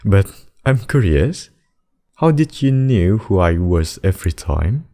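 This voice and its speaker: A man's voice: low voice, very animated, warm tone, full character voice. A man playing a devoted, protective demon king in an intimate ASMR roleplay, speaking formally and tenderly to a lover. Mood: fond, amused. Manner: slow, formal, doting speech with endearments.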